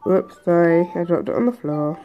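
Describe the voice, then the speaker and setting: A woman hosting a let's play, chatty and hesitant with lots of ums, her voice a bit croaky from a cold. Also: lowish voice, normal speed, highs cut off, soft background music. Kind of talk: casual game commentary. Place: indoors at a table.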